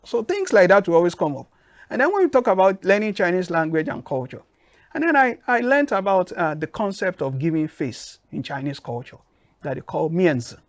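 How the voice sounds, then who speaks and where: A man with a Nigerian accent answering interview questions in a measured, emphatic way. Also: high-pitched, very animated, no echo. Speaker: guest.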